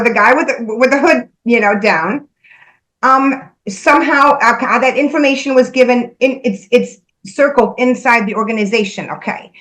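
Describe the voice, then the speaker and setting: A woman with a somewhat high-pitched voice speaks in a casual conversation. She earnestly relays messages she says she is given, as a spiritual medium would. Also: a bit bright, poor audio.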